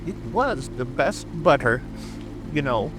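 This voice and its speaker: A meek storybook hare played by a man, apologetic and lilting in a middle pitch, with music underneath. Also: animated, slow. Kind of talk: full-cast dramatic audiobook reading.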